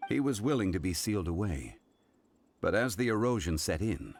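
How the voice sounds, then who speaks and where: An actor playing a composed, ageless god in human form, speaking calmly and with dignity. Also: male voice, low-pitched, no room sound, exaggerated voice. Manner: calm, measured, dignified. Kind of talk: game voice acting. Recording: game audio with faint music.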